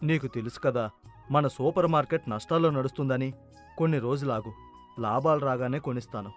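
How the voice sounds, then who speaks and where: A man's voice: mid-range voice, fairly quick, faint music behind, natural voice. A man playing a greedy, arrogant elder brother in a village moral story, with a brash, insistent manner. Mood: placating.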